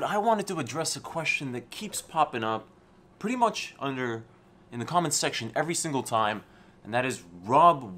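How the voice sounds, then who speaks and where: A man's voice: mid-range voice, slight background noise. A man with an American-schooled English accent hosting his channel, talking casually and conversationally from his office. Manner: relaxed, conversational, with restarts. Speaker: channel host, entrepreneur. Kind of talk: casual talk to camera.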